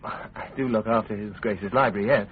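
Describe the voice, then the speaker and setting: An actor playing an uneasy, deferential clerk, hesitant and defensive, in a radio drama. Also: male voice, on the low side, moderate pace, close to an everyday voice. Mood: uneasy, guarded. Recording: tinny radio recording, faint background noise.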